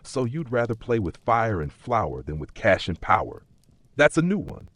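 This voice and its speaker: A man voicing a mischievous, cryptic magical pub keeper in a dark fantasy audio story, teasing and speaking in playful riddles. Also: on the low side, sing-song, moderate pace, dry recording, pitched above the performer's own voice. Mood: amused teasing.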